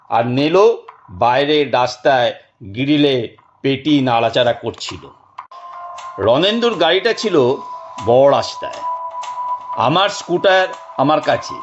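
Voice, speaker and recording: Slow, high-pitched male storyteller. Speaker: narrator. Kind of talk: dramatized first-person detective story narration. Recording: dull, band-limited audio. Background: faint background music.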